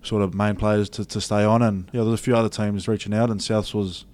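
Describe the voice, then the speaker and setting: A young man with an Australian accent giving relaxed, filler-heavy answers as an interview guest. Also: on the low side, brisk. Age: young adult. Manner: laid-back, many fillers and restarts. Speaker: rugby league player, guest.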